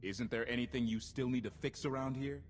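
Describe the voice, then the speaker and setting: A man's voice: medium pitch, a little fast, natural voice. An actor playing a cocky male ship captain who talks in a breezy, wry way. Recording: game audio with ambient hiss.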